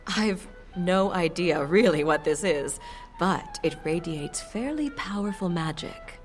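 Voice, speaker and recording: Low-voiced, coy fiend shopkeeper played by an actress. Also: female voice, lightly acted. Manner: coy, theatrical saleswoman with chuckles and purring flourishes. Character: playful, sultry half-demon fiend woman shopkeeper. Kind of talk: audio drama acting.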